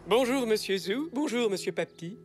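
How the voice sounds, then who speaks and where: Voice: mid-range voice, expressive, normal speed, soft background music, close to an everyday voice. A performer voicing an enthusiastic, florid grown-up zebra customer in a French children's cartoon.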